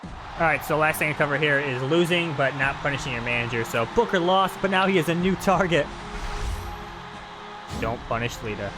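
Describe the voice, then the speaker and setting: A man's voice: on the high side, moderate pace, over music. A man doing casual live commentary on video game footage, talking in an informal way.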